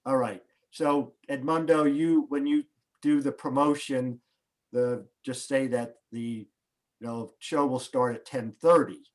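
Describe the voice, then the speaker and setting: A man speaking over a video call as a host, guiding a guest through setup before a broadcast. His talk is halting, full of restarts and small asides. His pitch is mid-range for a man. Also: fairly even intonation. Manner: hesitant, restarts, coaching tone. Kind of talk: casual pre-show video call chat.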